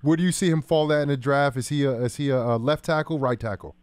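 A man's voice: mid-range voice, fast, darkish tone, clean tone, no echo. A man in his thirties from New Jersey, co-hosting a sports show with casual chat on a close studio mic. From New Jersey, United States. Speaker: sports talk show co-host. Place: small indoor studio. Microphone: close broadcast boom mic.

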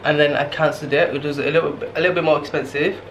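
A man's voice: fairly high pitch, fairly even intonation, racing, fairly bright tone, rough recording. A young man in his twenties vlogging solo while traveling, talking casually and rambling to a handheld camera. He is worn out and has a sore throat. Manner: rambling, tired, talks to camera in fillers. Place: guesthouse room indoors, later noisy narrow street at night. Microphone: camera held at arm's length. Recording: handheld camera with clear background noise.

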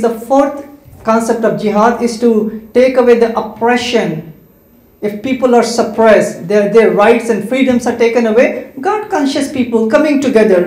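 A man's voice: very high-pitched, a little mellow. A man whose first language is Hindi and Urdu, answering students' questions in a large hall on a handheld mic, listing his points and checking in with "right?". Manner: explanatory, lists points and adds "right?" checks. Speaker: Islamic speaker answering questions. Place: large indoor community hall. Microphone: handheld microphone. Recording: echoey hall.

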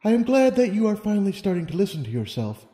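A man plays a stately pony monarch from a storybook. The voice is mellow and deliberate, calm and wise, gently probing as it goes. It carries in a long, echoing hall reverb. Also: sits high, soft background music, voice pushed up. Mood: gentle, stately. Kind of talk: audiobook character reading.

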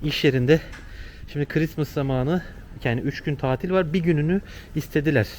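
A man's voice: on the high side, brisk, a little mellow. A man chatting casually and explaining things to a companion as a travel vlogger. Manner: explanatory, conversational. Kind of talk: casual on-location chat with companion. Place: outdoors on city streets. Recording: noisy outdoor city street, traffic.

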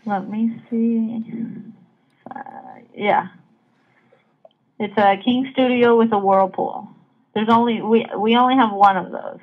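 A woman's voice: on the high side, steady tone, takes it slowly, long pauses. A woman working as a hotel receptionist, answering casually and matter-of-factly on a phone call. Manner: obliging, matter-of-fact. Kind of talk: casual customer-service phone talk. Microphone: heard over a phone call.

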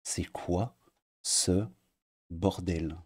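A man in his thirties with a Belgian French accent, talking in a casual, sarcastic commentary vlog from his home desk and jumping into high mocking tones. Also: very slow, breathy edge. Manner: sarcastic, animated, swings pitch up for mock emphasis. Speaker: YouTuber commentator.

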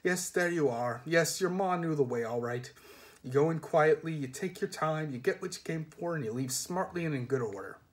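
A man's voice: on the high side, moderate pace, close to an everyday voice. A man reads as a small, tidy, careful old-hand thief in a fantasy storybook. The manner is precise and polite, giving orders as if counting out change. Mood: brisk, instructing. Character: neat, careful, miserly little male criminal.

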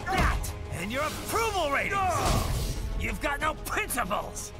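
A man's voice: extremely high pitch, big pitch swings, slow, full character voice. An actor voicing an angry young male cyborg ninja who fires back scornful, cursing retorts. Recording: game audio with loud music behind.

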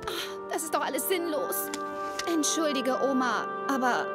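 Very high girly cartoon racer, plucky but unsure, lively, loud music behind. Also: unhurried. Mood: dejected. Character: plucky, self-doubting young girl racer. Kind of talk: German cartoon dub acting.

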